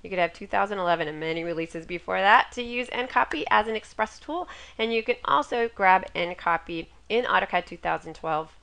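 Raspy-voiced woman with a cold. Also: medium pitch, lively intonation, a little fast, fairly bright tone. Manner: chatty and upbeat, laughs at her own jokes. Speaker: software tutorial host. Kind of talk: screen-recorded software tutorial. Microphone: webcam inset over screen capture.

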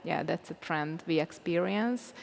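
A woman's voice: lowish voice, a little slow, creaky. A woman, answering audience questions on a panel, often searching for English words and restarting. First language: Hungarian. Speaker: panel guest, journalist. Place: stage of a large hall. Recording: long hall reverb.

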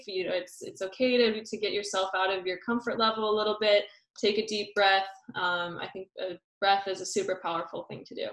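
Young adult woman, mid pitch, friendly and hesitant, roomy video-call sound. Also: fairly bright tone. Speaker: Olympic sailor reading out questions. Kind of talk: casual online Q&A. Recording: echoey room on a video call.

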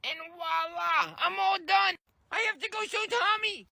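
A man voicing an excitable, whiny little boy who shouts and tattles to his mom. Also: very high-pitched, unhurried, gravelly, voice pushed up, full character voice.

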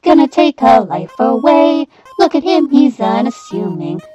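A woman's voice: high-pitched, big pitch swings, a little slow, highs cut off, over music. A woman voicing a mischievous, gleefully murderous child in a comedic comic dub, theatrical and mocking. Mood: menacing, teasing. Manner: theatrical, mocking, prone to shouting.

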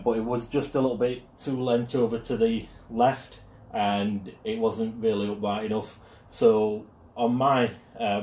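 A man with a low, fairly level voice talks through a product demonstration with a hesitant, filler-heavy delivery. He is filmed on a phone in his garage. The sound bounces around the echoey space. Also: darkish tone, a little hiss or hum. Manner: hesitant, many ums and uhs. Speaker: product reviewer. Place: home garage. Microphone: mobile phone camera.

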